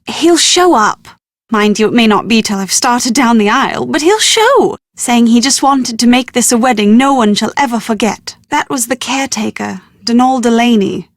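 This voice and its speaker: An actress playing a well-bred, proud young British bride-to-be in a video game, with prim, articulate, genteel phrasing and exasperated confidence. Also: female voice, on the high side, no echo, studio quality. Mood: confident, airy. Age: young adult. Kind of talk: scripted video game dialogue.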